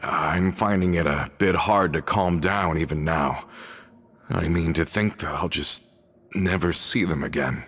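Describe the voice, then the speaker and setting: A deep-voiced loyal guild man. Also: unhurried, a bit bright, husky, heavily acted. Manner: plain-spoken, slightly hesitant. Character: loyal man, guild member, bluntly practical.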